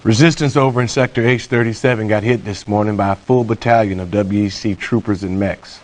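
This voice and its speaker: An actor playing a grave, businesslike officer giving a formal, measured mission briefing in a video game. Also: male voice, fairly low pitch, steady tone, a little mellow, lightly acted.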